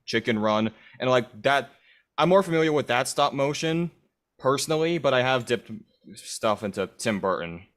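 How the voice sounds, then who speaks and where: Mid-pitched man in casual conversation. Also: expressive, normal speed, a bit bright. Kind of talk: casual group chat.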